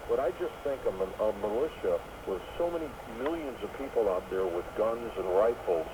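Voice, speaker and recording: A man hosting a live radio call-in show, talking on a noisy shortwave broadcast taped onto cassette. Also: lowish voice. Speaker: radio talk show host.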